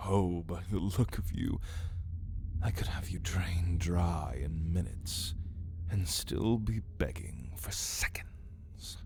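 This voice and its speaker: A man playing a caged ancient vampire in an ASMR roleplay, refined and hungry, speaking in theatrical, menacing, archaic phrases with a breathy voice that often drops into a whisper. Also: deep voice, heavily acted. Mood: hungry, savoring. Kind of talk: ASMR roleplay monologue spoken to the listener.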